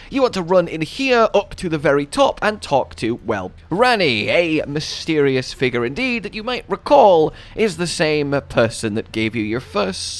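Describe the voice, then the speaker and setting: A man with a fairly high, very lively voice narrates a video game guide. He leans hard on key words with dramatic emphasis, swinging widely in pitch as he walks through the steps. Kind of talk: scripted game walkthrough narration.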